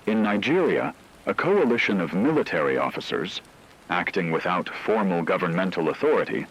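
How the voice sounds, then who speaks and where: Very deep-voiced man reading news analysis. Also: very animated, moderate pace, breathy edge, low-quality recording. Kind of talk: scripted news analysis narration.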